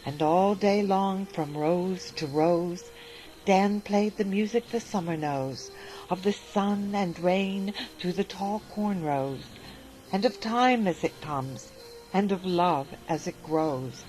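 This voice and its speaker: A woman narrating a rhyming storybook aloud with a lilting, expressive delivery, with faint music behind. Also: lowish voice, slow, poor audio. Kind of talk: storybook reading in rhyme.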